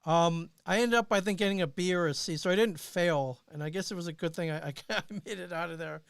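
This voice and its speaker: A man's voice: high-pitched, fairly quick, vocal fry, smooth, no room sound, clean studio sound. A middle-aged American man from Michigan, a guest casually telling rambling, self-deprecating anecdotes. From Michigan, United States. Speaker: podcast guest. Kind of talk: casual chat, personal anecdotes.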